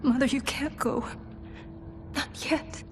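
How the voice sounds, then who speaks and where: A woman's voice: sits high, steady tone, slow, slight background noise. A woman playing a grieving young princess and devoted daughter in a video game cutscene.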